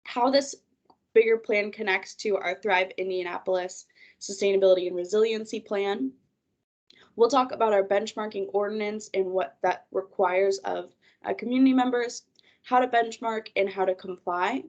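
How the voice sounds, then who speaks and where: A woman presents a webinar over a video call, her voice congested from a cold. She keeps an organized manner as she guides listeners through her slides, at a middle pitch. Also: steady tone, normal speed. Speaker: project manager presenting a webinar.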